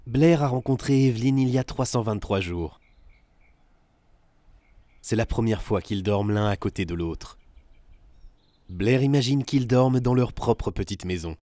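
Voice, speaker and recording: A man's voice: medium pitch, a little slow, long pauses, dark tone, no echo. A man reading scripted third-person narration for a film.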